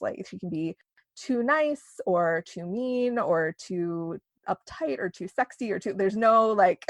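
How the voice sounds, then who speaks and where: Low-pitched woman chatting casually. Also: no echo, clean studio sound. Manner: chatty and candid, peppered with "like" and "you know". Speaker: interview guest, novelist and podcaster. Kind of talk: casual conversational interview.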